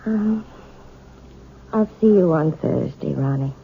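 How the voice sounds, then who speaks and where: An actress playing a timid, frightened housewife in a 1970s radio drama, talking hesitantly and stammering. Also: female voice, low voice, lively intonation, slow, vocal fry, slight background noise, full character voice. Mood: gentle. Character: timid housewife, afraid of dogs. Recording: tinny phone-like old radio recording.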